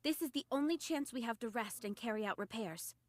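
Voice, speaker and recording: Woman acting a composed, high-voiced young squad leader. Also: animated, fairly quick, no room sound, lightly acted. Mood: grave, resolute. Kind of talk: anime game voice acting. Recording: music playing behind the voice.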